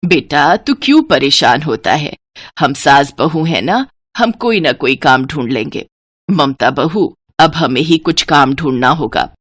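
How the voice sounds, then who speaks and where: A woman voicing a kind, gentle elderly widow, a consoling mother-in-law in a moral story. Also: low voice, lively intonation, a little slow, slightly rough, dry recording, voice pushed down, heavily acted.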